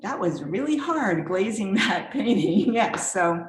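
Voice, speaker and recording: A woman's voice: mid-range voice, very animated, a little slow, reverberant, noisy background. A middle-aged woman from the former Czechoslovakia, an art professor, introducing herself in casual, upbeat chat and laughing often. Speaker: guest artist and art professor. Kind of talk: casual self-introduction at a virtual reception.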